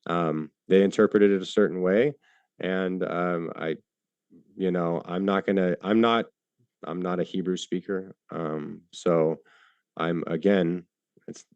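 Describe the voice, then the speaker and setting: A low-pitched man speaking in a debate, loose and informal. He stumbles through answers with frequent fillers and restarts and swears offhandedly. The recording is dry and close. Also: fairly even intonation, unhurried, a little mellow, smooth, no echo, highs cut off. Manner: hesitant, many fillers and restarts, casual swearing. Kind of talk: live debate.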